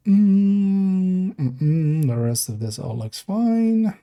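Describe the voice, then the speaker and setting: A young man from the Netherlands, streaming live and thinking aloud in a relaxed, meandering way while reviewing his work. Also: sits high, slow, clean tone. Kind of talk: casual live-stream commentary.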